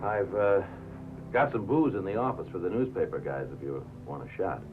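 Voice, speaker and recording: Wry male broadcast insider, casual cynic, fairly low voice; old movie, thin narrow sound. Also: big pitch swings, normal speed. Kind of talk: 1950s film acting, banter. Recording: tinny 1950s film soundtrack, loud music.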